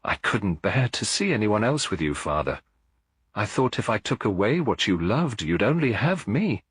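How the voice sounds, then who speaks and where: A man's voice: fairly low pitch, sing-song, moderate pace, husky, dry recording, voice pushed up, exaggerated voice. A man as distraught, jealous young girl. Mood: anguished confession. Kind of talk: audiobook mystery dialogue.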